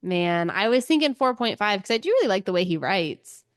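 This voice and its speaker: A woman's voice: mid-range voice, sing-song, fairly quick, no echo, studio quality. A woman co-hosting a book podcast in casual chat, thinking aloud in an informal way.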